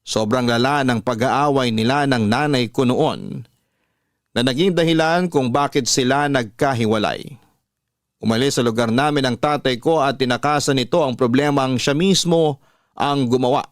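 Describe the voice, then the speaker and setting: A man's voice: mid-range voice, moderate pace, no echo, clean studio sound. A man hosting a radio story show, reading a listener's letter as dramatic scripted narration. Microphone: close studio microphone, dry. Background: soft music.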